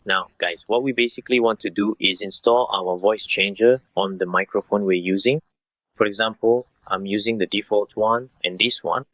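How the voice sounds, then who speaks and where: A man in his thirties narrating a step-by-step software tutorial in an instructional way, speaking directly to viewers. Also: fairly low pitch, normal speed, no echo, lo-fi sound. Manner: instructional, addresses viewers as guys.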